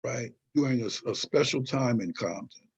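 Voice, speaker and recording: An older man with a husky edge to his voice, recorded on a dull, lo-fi mic. He tells history in long, digressive stretches and stresses his points as he goes. He pulls the listener along with rhetorical questions. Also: mid-range voice, expressive, moderate pace, a little mellow. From Southern California. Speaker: guest, historian and author. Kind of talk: interview conversation.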